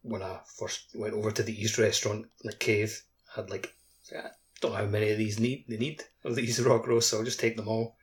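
A man's voice: lowish voice, little pitch movement, a little fast. A man co-hosting a podcast in a casual, rambling way, peppering his talk with "like". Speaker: podcast co-host. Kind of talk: casual chat.

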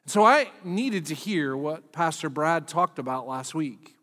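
A man's voice: a little high, animated, unhurried, a bit bright, big-room sound. A middle-aged man preaching a sermon as pastor, candid and self-deprecating in a conversational way.

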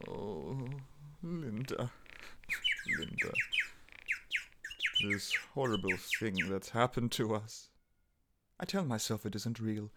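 Distraught husband in noisy old horror radio. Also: male voice, on the low side, expressive, slow pace, long pauses. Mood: sorrowful. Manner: theatrical, pleading, swinging from murmurs to outcries. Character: desperate, distraught husband devoted to his transformed wife. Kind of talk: 1930s radio horror acting. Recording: noisy vintage 1930s radio recording.

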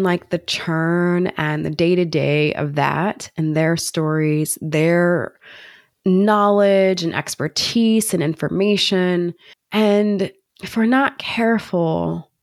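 A woman's voice: fairly low pitch, no echo, studio quality. A woman co-hosting a podcast, a bit congested, talking in a thoughtful, explanatory way. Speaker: podcast co-host. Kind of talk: casual podcast chat.

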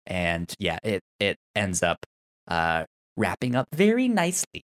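A man co-hosting a podcast, chatting casually and enthusiastically about television in a halting way, with stuttered sounds and syllables. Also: low voice, wildly swooping pitch, a bit bright. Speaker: podcast co-host. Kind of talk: casual TV show discussion.

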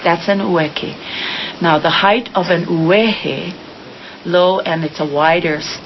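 A woman teaching a hula class outdoors, giving brisk, encouraging directions. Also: fairly low pitch, slight background noise. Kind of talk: instructing a dance class. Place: outdoors on a lawn. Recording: muffled, distant, music behind.

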